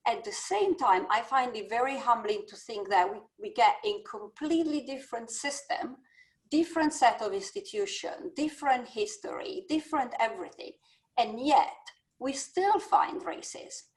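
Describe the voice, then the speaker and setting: A woman from Italy with an Italian accent, a business school dean speaking thoughtfully on a video-call panel, pausing often to find her words. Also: on the high side, lively intonation, poor audio. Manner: thoughtful, searching for words with many fillers. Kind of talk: panel discussion.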